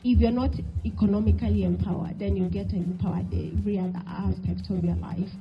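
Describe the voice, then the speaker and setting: A woman's voice: medium pitch, fairly even intonation, brisk, soft background music. Emphatic woman answering on a panel. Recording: dull lo-fi event audio.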